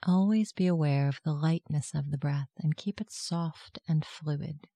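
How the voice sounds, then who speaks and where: Meditation guide, medium pitch, warm relaxed timbre, gentle measured delivery, scripted narration. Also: vocal fry, no room sound.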